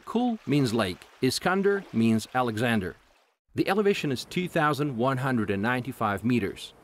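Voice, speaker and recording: A man narrating a travel vlog in a lively, wry voice-over. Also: medium pitch.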